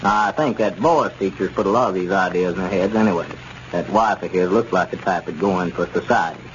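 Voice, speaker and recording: An actor playing an easygoing, sensible small-town store owner in a 1930s radio comedy. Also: male voice, low voice, fast talker, lightly acted. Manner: chuckling, level-headed. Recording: dull, noisy 1930s radio recording.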